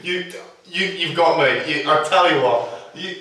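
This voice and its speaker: An actor playing a nervous, disbelieving office worker at a film script table read, stammering and halting. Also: male voice, a little high, pushed and bright. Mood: sarcastic amusement. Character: flustered, disbelieving male office worker, sarcastic and panicky. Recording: very echoey room, far from mic.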